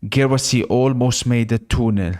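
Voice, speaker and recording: A man's voice: medium pitch, fairly even intonation, normal speed, darkish tone. A man hosting a live football watch-along stream, chatting casually, reacting to the match and reading out viewer messages.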